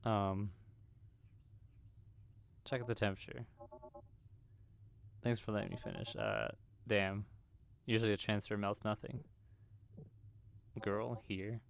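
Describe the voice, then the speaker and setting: A man's voice: lowish voice, lively intonation, slow, vocal fry, pauses often, a little hiss or hum. A man hosting gaming videos, reading a visual novel's script aloud as he plays.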